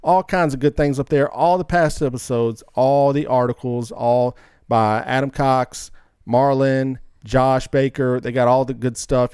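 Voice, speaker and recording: A man's voice: mid-range voice, darkish tone, clean tone, dry recording. Casual man hosting a podcast, clean close sound. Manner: informal, rambling, says man a lot. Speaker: podcast host. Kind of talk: casual chat, show announcements.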